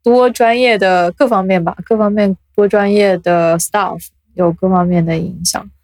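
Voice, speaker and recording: A woman's voice: mid-range voice, fairly even intonation, moderate pace, darkish tone, no room sound, studio quality. A woman hosting a casual podcast chat, giving brief responses and follow-up remarks. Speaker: podcast host.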